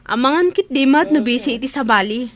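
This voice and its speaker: A woman acts a worried relative in a radio drama. Her voice is high, and she speaks with urgency and reproach. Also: lively intonation, fairly quick, a bit bright. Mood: scolding. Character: worried, scolding female relative of a village family.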